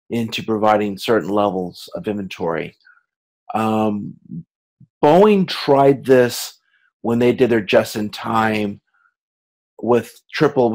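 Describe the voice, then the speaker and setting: A man lecturing as a university instructor, explaining slides informally in a halting way, repeating words and correcting himself as he goes. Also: on the low side, a little mellow, poor audio.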